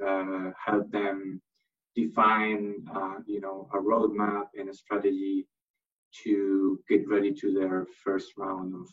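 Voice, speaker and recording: A young man from Chile talks slowly in a low, level voice, stopping often on fillers and restarts. He sounds a little distant and dull, as if picked up by a lo-fi mic set back from him. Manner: hesitant, many fillers and restarts. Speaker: interview guest, MBA graduate. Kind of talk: remote interview answers.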